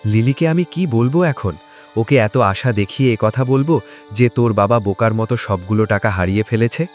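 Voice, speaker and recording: A man's voice: fairly low pitch, moderate pace, darkish tone, faint music behind, close to an everyday voice. A man playing a poor, hardworking village father, earnest, meek and polite, in a moral-story cartoon. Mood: distressed.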